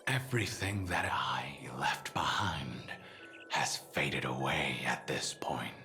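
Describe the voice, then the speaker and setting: Deep, echoing, world-weary figure. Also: male voice, pushed and bright, heavily acted. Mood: wistful farewell. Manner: measured, grave, theatrical. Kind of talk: dramatic video game voice acting. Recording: long echoing reverb, faint music.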